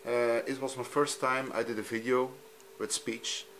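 A man from Belgium, a native Dutch speaker with a Dutch accent, talking off camera in a halting, simple way while he films his TV screen at home. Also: mid-range voice, flat delivery, a bit bright, a little hiss or hum. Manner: halting, frequent ums, simple non-native phrasing. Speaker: hobbyist youtuber. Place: room at home, filming a TV screen.

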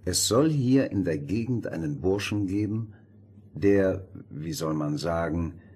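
An actor playing a refined, pompous gentleman, sly and measured, in a fairy-tale radio play. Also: sits low, lively intonation, breathy edge, heavily acted. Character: smooth-mannered, condescending noble gentleman, probing and sly.

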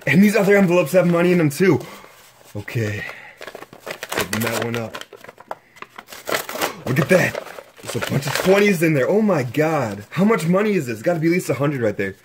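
A young man narrating his own exploration vlog, excitedly exclaiming over what he finds while filming up close with a handheld camera. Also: a little high, normal speed, pauses often, noisy background. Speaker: exploration vlogger.

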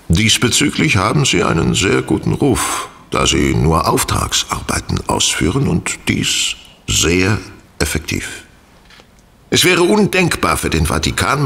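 A suave, scheming cardinal of the Vatican in an audio drama. His deep voice swoops dramatically and has a gravelly fry, with pompous, insinuating, deliberate phrasing. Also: bright, forceful tone, heavily acted.

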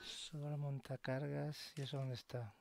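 A man's voice: mid-range voice, level tone, a little slow, creaky. A man with a Spain accent giving casual gameplay commentary, muttering and swearing to himself. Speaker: gamer narrating gameplay. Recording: voice mixed with game music. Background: game soundtrack and effects.

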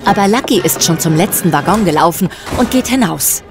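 A woman's voice: fairly low pitch, lively intonation, a little fast, over music. A woman narrating a scripted children's audio drama as its storyteller.

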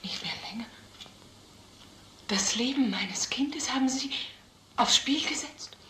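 A hurt, indignant mother from an old crime film. Her questions are hushed and pointed. The room echoes, with light noise behind her. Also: on the high side, animated, slow. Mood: shocked, wounded. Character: indignant, wounded mother demanding the truth. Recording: roomy film soundtrack with background noise.

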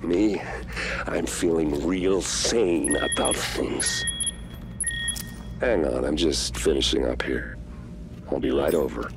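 A man's voice: rumbling low, takes it slowly, pauses often, over music, heavily acted. A man playing a cold, bitter rogue agent in a video game, menacing and persuasive. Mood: calm menace. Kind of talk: video game cinematic acting.

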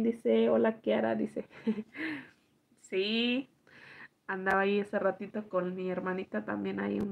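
A woman, hoarse from allergies, chatting slowly with viewers and reading their comments aloud on a live stream from home at night. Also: medium pitch, narrow, tinny audio. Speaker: livestream host reading chat. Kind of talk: casual live chat with viewers.

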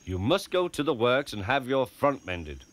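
A man voicing a stern, pompous male railway boss who speaks in a measured, formal way. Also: medium pitch, big pitch swings, close to an everyday voice. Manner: measured and formal, pauses for effect. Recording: music playing behind.

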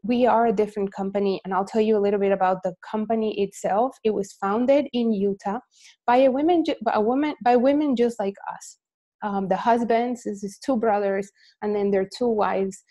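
A woman in her forties giving an energetic, candid motivational talk on a video call from home, telling her own story to recruit people to her sales team. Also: medium pitch, moderate pace. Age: 40s. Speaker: team leader pitching a sales business.

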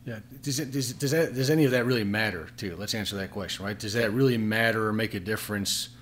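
A man's voice: on the low side, quick pace, a bit bright, slight background noise. A middle-aged man with an American accent, talking conversationally as an interview co-host. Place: small plain room at a table, indoors. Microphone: desk microphone on stand.

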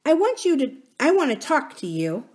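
A woman voicing an earnest, polite little princess in a storybook, childlike and gently insistent. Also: sits high, very animated, moderate pace, voice pushed up. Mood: shyly curious.

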